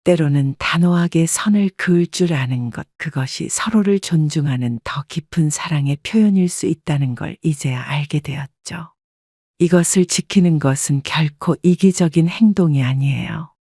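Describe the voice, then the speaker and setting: A woman in her seventies calmly narrating a reflective first-person life story. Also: sits low, normal speed, no echo, studio quality. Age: 70s. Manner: calm, reflective.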